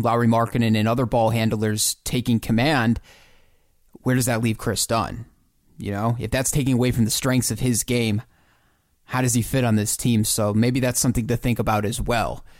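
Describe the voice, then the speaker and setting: A man's voice: medium pitch, dry recording, studio quality. A man co-hosting a sports podcast, thinking through basketball scenarios and posing questions in a conversational way.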